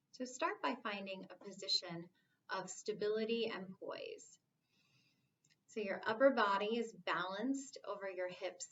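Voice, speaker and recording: A woman guides a meditation at a very slow, unhurried pace. Her delivery is calm and soothing, with long pauses between phrases. The recording is a little muffled, with a faint layer of background noise. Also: mid-range voice.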